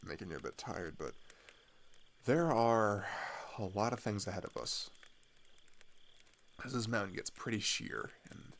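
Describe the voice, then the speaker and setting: A man playing an open-hearted, weary teenage climber on the run in an ASMR roleplay, speaking in a halting, confiding way with a low, gravelly voice. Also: heavily acted. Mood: caring, tired. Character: open-hearted teenage male climber fleeing across a border, weary but caring. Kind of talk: ASMR roleplay audio drama.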